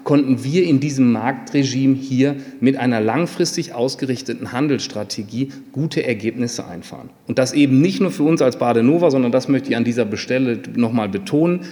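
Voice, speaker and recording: A man's voice: mid-range voice, a little fast, hardly any pauses, warm tone. A man giving a formal presentation as a company executive. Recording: reverberant meeting hall.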